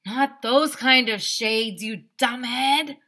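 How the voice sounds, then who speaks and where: Woman as a goofy storybook boy, bright voice, would-be cool, emphatic, matter-of-fact. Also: on the high side, slow pace, voice pushed up, full character voice. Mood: exasperated. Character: cool-wannabe, goofy young schoolboy classmate.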